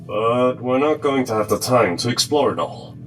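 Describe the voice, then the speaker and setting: A man's voice: mid-range voice, animated, moderate pace, over loud music, lightly acted. A man playing a curious, persistent tunnel explorer in an audio drama. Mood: curious, wary.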